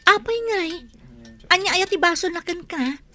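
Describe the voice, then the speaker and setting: Nagging high-pitched Ilocano woman. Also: normal speed, pushed and bright. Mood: nosy, reproachful. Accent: Northern Philippine, Ilocano. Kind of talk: Ilocano radio drama acting. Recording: music clearly behind the voice.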